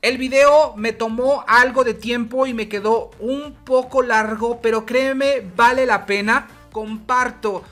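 A woman, the channel host, calmly walking the viewer through a screen-recorded tutorial and speaking directly to them. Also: a little high, unhurried, soft background music. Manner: steady, explanatory, addresses viewer directly.

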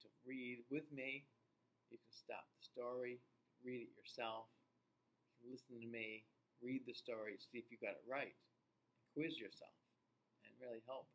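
A man in his forties reading a children's book aloud and speaking deliberately to young viewers. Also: medium pitch, fairly even intonation, a bit bright, a little hiss or hum, rough recording. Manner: slow, deliberate, child-directed delivery. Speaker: children's read-aloud host. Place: small indoor room.

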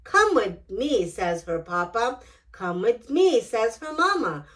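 A middle-aged woman hosting storytime, reading a picture book aloud in an expressive, deliberate way. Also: fairly high pitch. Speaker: children's storytime host. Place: small decorated indoor storytime set.

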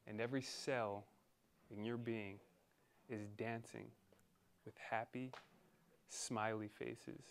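A man leading a guided meditation in a soothing, deliberate manner, pausing between short phrases. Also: on the low side, flat delivery, gravelly, a little hiss or hum.

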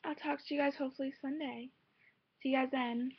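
Young female vlogger, slightly high voice, informal rambling chat, muffled lo-fi laptop recording. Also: fairly even intonation, brisk. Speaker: amateur singer and vlogger. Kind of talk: casual chat to viewers. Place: bedroom, indoors. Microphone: laptop's built-in mic at arm's length. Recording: dull lo-fi laptop microphone.

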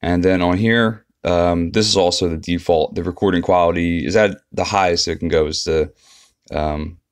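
A man's voice: sits low, steady tone, normal speed, clear, resonant tone, no echo, studio quality. A man giving a casual, unscripted walkthrough of an app as a tutorial presenter.

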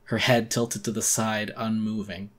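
Male narrator for dramatic horror reading. Intense, deliberate, slightly deep, with a tense forceful edge. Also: flat delivery. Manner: intense, theatrical, deliberate storytelling. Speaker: audiobook narrator.

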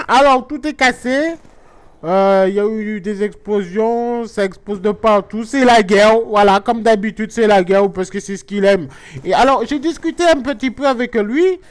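A man puts on a rambling Guadeloupean mother with a very high, smooth voice. Her explanations of the video game are earnest and innocently wrong. The voice is pitched far up and kept clear. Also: moderate pace, lightly acted. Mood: chatty. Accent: Guadeloupean French. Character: chatty middle-aged Guadeloupean mother, naive about games. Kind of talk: comic gameplay commentary in character.

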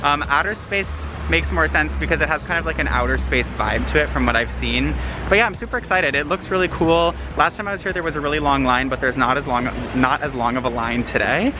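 A man's voice: a little high, fairly quick, music in the background. A young man walking through city streets, chatting casually to a handheld camera. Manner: upbeat, chatty, frequent ums. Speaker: vlogger. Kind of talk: casual vlog narration. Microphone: camera held at arm's length.

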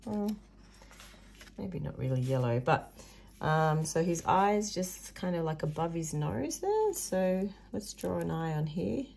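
A woman hosting a casual craft tutorial with a hoarse, croaky voice, chatting and muttering asides as she works at a table. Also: fairly low pitch, big pitch swings, a little slow, a little mellow. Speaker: craft video host. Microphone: overhead camera above a table, hands only.

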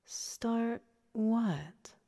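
A woman's voice: fairly high pitch, very slow, dark tone. A woman voicing a bewildered, defiant captive man who protests with alarm in an audio story. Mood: confused. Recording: reverberant hall-like echo.

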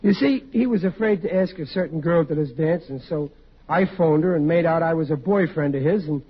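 A man's voice: a little high, fairly quick, slightly rough. An actor playing a hapless, dejected husband and father with a plain, folksy, ungrammatical way of talking in a 1940s radio comedy. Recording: muffled, noisy 1940s radio recording.

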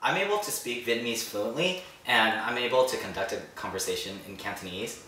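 A young man chatting on a panel, earnest and steady, with filler ums. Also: mid-range voice, brisk. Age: young adult. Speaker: panel guest. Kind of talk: casual chat. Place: echoey living room indoors. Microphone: far camera mic. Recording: roomy echo, distant mic, faint hiss.

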